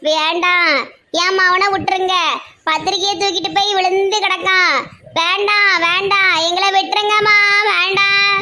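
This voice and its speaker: A grieving old village mother turns on her son's in-laws with bitter, scolding pleas, as a performer puts her on. The voice sits very high and sounds bright and piercing. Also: little pitch movement, normal speed, exaggerated voice. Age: older. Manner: scolding, pleading dialect speech.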